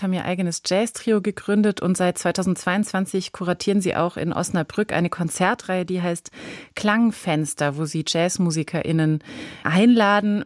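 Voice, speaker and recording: A woman's voice: fairly low pitch, a little mellow, no room sound. A woman hosting a prepared cultural interview in a radio studio.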